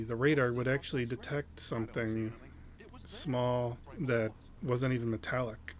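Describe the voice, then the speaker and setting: A man's voice: mid-range voice, a little hiss or hum. A man hosting a casual reaction video, rambling with lots of fillers and restarts.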